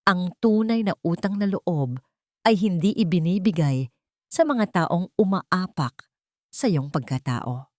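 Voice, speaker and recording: A woman narrating a scripted love story in a dramatic, measured and solemn storytelling style. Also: lowish voice, expressive, a little mellow, no room sound.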